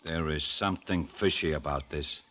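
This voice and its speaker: An actor playing a hard-boiled, grumbling sports bettor in an old-time radio drama, tough and slangy. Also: male voice, rumbling low, normal speed, a bit bright. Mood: baffled, suspicious.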